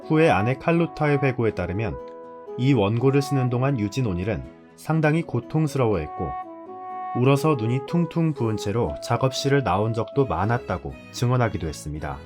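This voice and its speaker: Lively male narrator over guitar music. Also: medium pitch, brisk. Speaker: host. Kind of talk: scripted narration.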